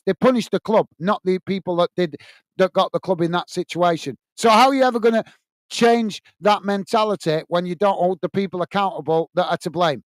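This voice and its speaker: Blunt, passionate Lancashire-accented football host. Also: male voice, high-pitched, no echo. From England. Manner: passionate, blunt, addresses viewers by name. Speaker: football channel host reading live chat. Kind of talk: casual live-stream chat. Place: small home studio.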